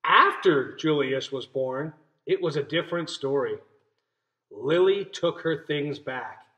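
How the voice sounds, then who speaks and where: A man's voice: a little high, expressive, takes it slowly, sharp tone, rough recording. A young man teaching a read-aloud lesson for young children, explaining simply and stressing key words. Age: young adult. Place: small indoor room at home. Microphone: webcam or laptop mic at arm's length.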